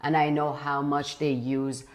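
A young woman from Iran hosting an interview, animated and often restarting as she searches for words, recorded on a lapel mic in a living room. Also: low-pitched, unhurried. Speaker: interview host. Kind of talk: conversational interview. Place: bright living room indoors.

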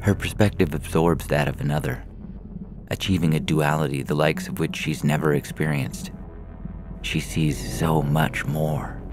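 A man's voice: extremely low pitch, a little slow, over music. A man reading a science fiction story aloud as a scripted narration.

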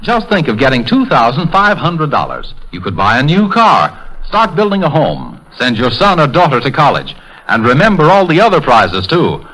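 A man's voice: a little high, huge pitch swings, moderate pace, pushed and bright, rough recording. A man reading an advertisement as a 1930s radio announcer.

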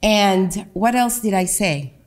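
A middle-aged woman from Honduras, a Garifuna speaker, giving a public talk from a lectern in a meeting room, with a slow, emphatic delivery. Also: mid-range voice, animated. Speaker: guest speaker. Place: meeting room with a seated audience. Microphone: lectern microphone.

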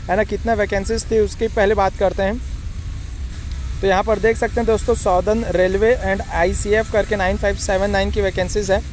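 A young man with a fairly high voice runs through an exam update in a brisk, chatty way, calling his viewers friends. He is recorded on a phone at arm's length outdoors, with faint street and vehicle noise underneath. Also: level tone. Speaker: exam coaching channel host. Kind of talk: fast informal exam-update explainer. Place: outdoors beside a railway track.

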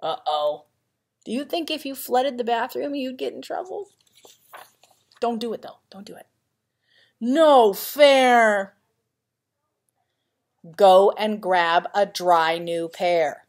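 A woman's voice: on the high side, animated, slow pace, long pauses, darkish tone. A woman reading a children's picture book aloud in a playful, dramatic manner, with chatty asides to young listeners. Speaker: elementary school vice principal reading to pupils.

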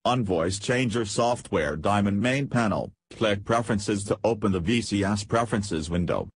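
A man reading a scripted, step-by-step software tutorial as its narrator. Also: on the low side, normal speed, breathy edge, no echo.

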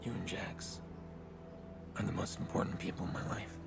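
A man in his thirties playing a brooding, protective big brother in a video game, understated, with a gruff gravelly voice. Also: sits low, steady tone, moderate pace. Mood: tender, earnest. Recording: music and noise behind.

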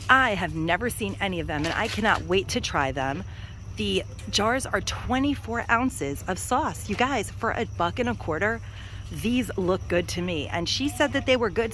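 A woman's voice: on the low side, slight background noise. A woman vlogging as she walks through a discount store, filming on a handheld phone and gushing enthusiastically to her viewers. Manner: gushing, enthusiastic, addresses viewers as you guys. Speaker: shopping vlogger. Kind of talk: casual shop-with-me chat. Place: inside a large discount store. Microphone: handheld phone camera.

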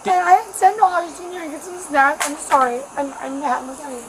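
A woman's voice: sits high, steady tone, a little fast. A distressed, anxious middle-aged American woman pleading with police in a rambling, repetitive, protesting way. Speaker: member of the public stopped by police. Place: large grocery store aisle, indoors. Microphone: officer's body camera, a few feet away. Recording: noisy body-camera audio in a store.

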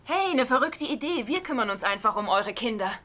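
Sitcom wife: exasperated, sardonic, bright forceful voice on the higher side. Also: female voice, expressive, fairly quick. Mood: persuading. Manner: scolding, sardonic. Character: exasperated, sharp-tongued wife and daughter in a sitcom. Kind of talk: German-dubbed sitcom acting.

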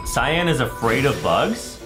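A man in his twenties with a medium-pitched voice chats casually over gameplay. He plays the agreeable sidekick and talks to the viewers, with clear music in the background. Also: big pitch swings, slow pace. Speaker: gaming video co-host.

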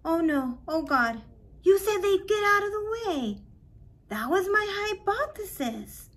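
A woman reading aloud the part of a brash, goading neighborhood boy from a storybook in an animated way. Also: very high voice, measured pace, voice pushed up, exaggerated voice. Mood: alarmed.

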